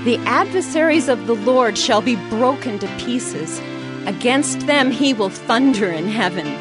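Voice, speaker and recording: An actress playing a devout, grateful biblical mother in a dramatized scripture reading, speaking in a solemn, fervent, measured way. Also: on the high side, animated, music in the background. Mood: exultant, solemn.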